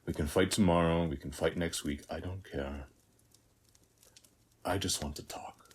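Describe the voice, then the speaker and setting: A man playing a weary, battle-hardened warrior of Ares in a scripted audio roleplay, speaking in measured, reflective short phrases. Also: steady tone. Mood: tired, gentle. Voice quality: very deep, husky, breathy.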